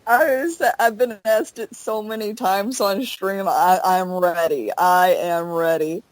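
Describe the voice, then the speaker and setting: A woman's voice: mid-range voice, steady tone, unhurried, no echo. Chatty young woman, very dry sound. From American South. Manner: chatty, rambling with many fillers. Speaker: Twitch streamer, guest. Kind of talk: casual interview chat. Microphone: headset.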